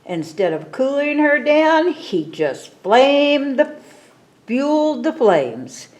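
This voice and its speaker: A woman reading a picture book aloud at a library story time, expressive, with explanatory asides to children. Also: high voice, slow pace.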